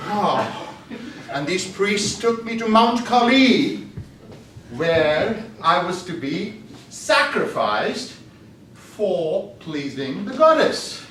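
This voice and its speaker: Male contest speaker, high lively voice, slow deliberate delivery, echoey hall, distant mic. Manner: animated storytelling, laughing often. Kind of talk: tall-tale speech at a speaking club. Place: meeting hall. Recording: echoey hall, far from mic, faint noise.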